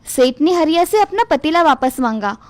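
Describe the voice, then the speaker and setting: A woman's voice: sits high, expressive, moderate pace, a little mellow, no room sound. A woman reading a scripted moral tale for children as the narrator.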